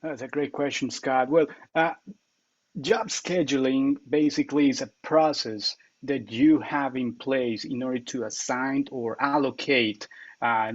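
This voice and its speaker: A man's voice: medium pitch, no echo. Colombian-born man, dull dry audio. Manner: earnest, explains step by step with frequent uh fillers and restarts. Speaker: account consultant guest. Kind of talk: interview answers, explanatory.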